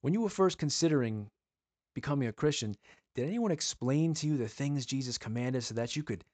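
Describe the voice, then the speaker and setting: A man giving an earnest sermon-style teaching, asking his listeners pleading rhetorical questions and slowing down to stress key words. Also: mid-range voice, expressive, darkish tone, no room sound.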